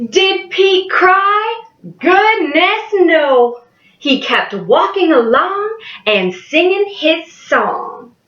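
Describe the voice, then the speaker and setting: High-pitched young woman reading to children. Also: roomy sound, muffled recording. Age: young adult. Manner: expressive, addressing young children. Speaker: early childhood education student reading to children. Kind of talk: picture-book read-aloud with sing-along.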